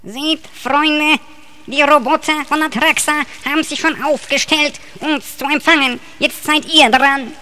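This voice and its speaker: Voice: high voice, steady tone, measured pace, pushed and bright, slight background noise. A young performer voicing a helpful turtle who guides space travellers in an amateur children's audio drama. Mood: helpful, guiding.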